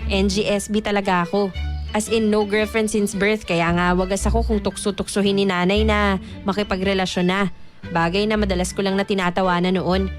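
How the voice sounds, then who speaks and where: An actor playing an earnest young Filipino man who narrates his own story in a measured, confessional radio drama style. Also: sits high, level tone, close to an everyday voice. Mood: confiding, earnest. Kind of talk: scripted first-person radio drama narration. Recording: music bed under narration.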